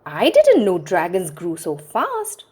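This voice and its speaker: Woman reading a storybook little boy: earnest, reasoning, lively lilt. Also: lowish voice, slow, breathy edge, full character voice. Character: little boy puzzled by a growing dragon.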